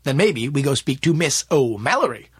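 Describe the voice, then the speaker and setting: A man voicing a polite, stern gentleman investigator in an audiobook, with a courteous, formal, probing way of talking. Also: mid-range voice, big pitch swings, normal speed, husky, dry recording, clean studio sound. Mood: musing.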